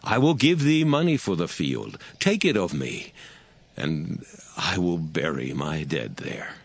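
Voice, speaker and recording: Solemn aged patriarch, gravelly, very slow, grave and commanding, for scripture drama. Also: male voice, deep voice, sing-song, dry recording, exaggerated voice. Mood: solemn pleading. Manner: slow, weighty, dramatic delivery.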